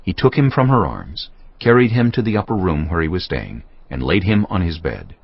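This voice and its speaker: Deep, husky, solemn male narrator. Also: big pitch swings, moderate pace, highs cut off. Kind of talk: scripted dramatic Bible narration.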